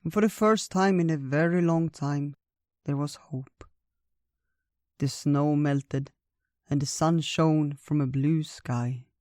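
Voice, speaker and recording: A storyteller voicing a wise, gentle old male bear in a theatrical, drawn-out way for a children's tale. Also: mid-range voice, dry recording, studio quality, natural voice. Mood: solemn, reminiscing. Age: older.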